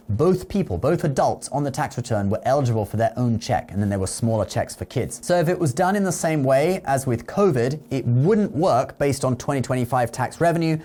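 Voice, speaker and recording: Man explaining finance news, steady mid-range voice. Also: mid-range voice. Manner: explanatory, checks in with "okay?" and "all right?". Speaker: finance news video host. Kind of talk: explainer commentary to camera with sponsor read.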